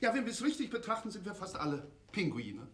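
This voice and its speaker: An actor playing a dreamy, flattering male doctor who speaks in flowery, poetic phrases. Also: high voice, fast talker. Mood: gushing. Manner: flowery and fawning, poetic speeches. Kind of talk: 1970s televised stage comedy. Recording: roomy TV stage recording from a distance.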